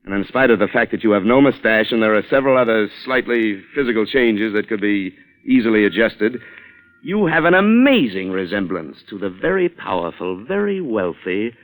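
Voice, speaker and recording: Low-voiced hard-boiled radio reporter. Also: male voice, very animated, normal speed, rough recording. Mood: accusing, wry. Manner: pointed, wry, taunting. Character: hard-boiled male reporter, shrewd, defiant, accusing. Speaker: lead actor and narrator. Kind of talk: old-time radio suspense acting. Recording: vintage radio broadcast sound.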